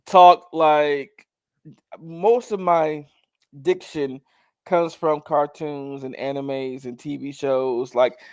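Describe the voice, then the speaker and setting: Animated, emphatic man co-hosting. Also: on the high side, clear, resonant tone, no room sound. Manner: animated, emphatic, quick to laugh. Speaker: co-host. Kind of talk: casual chat.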